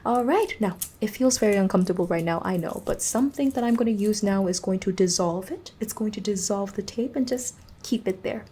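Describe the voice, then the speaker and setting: A woman playing a gently unsettling inhuman examiner in an ASMR horror roleplay, softly instructing and reassuring, drifting into whispers close to the microphone. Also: medium pitch, fairly quick, few pauses, slightly rough, close to an everyday voice. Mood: reassuring, attentive. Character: gently unsettling female entity examiner preparing a human.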